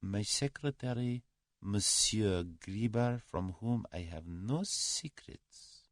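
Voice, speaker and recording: A man voicing a cowardly, haughty aristocrat in an audiobook story, formal and halting. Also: lively intonation, dry recording, studio quality. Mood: guarded, courteous. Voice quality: soft-spoken, high when agitated.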